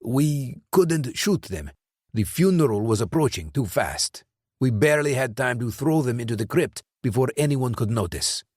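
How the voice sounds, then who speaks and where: A man's voice: mid-range voice, lively intonation, moderate pace, a little mellow, no echo, studio quality, voice pushed up, close to an everyday voice. A man voicing a nervous, blustering male hired killer who stumbles defensively through his explanations.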